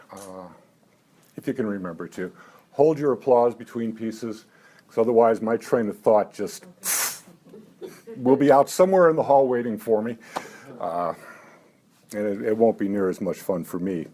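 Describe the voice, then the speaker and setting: A man in his seventies at a live poetry reading, talking haltingly between poems with dry, self-mocking asides and lifting his voice for the verse. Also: lowish voice, dark tone. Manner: halting talk with many "uh" fillers. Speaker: poet.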